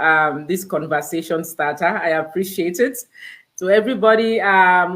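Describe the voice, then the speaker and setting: A woman's voice: lowish voice, fairly bright tone. A woman hosting a live online interview, chatty and polite, with plenty of "you know" and "um" fillers. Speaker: host.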